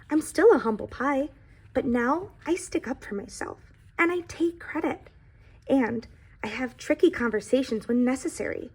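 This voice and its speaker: A woman voicing a humble, anxious and earnest young pie who tells its own story in a children's storybook, with dramatic emphasis. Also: sits high. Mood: proud. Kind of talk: expressive storybook reading.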